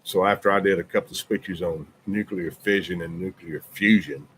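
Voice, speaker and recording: A man from Birmingham with a deep South Alabama accent, chatting casually as a podcast co-host and repeating his points for emphasis. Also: fairly even intonation, moderate pace, a bit bright, a little hiss or hum. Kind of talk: casual chat.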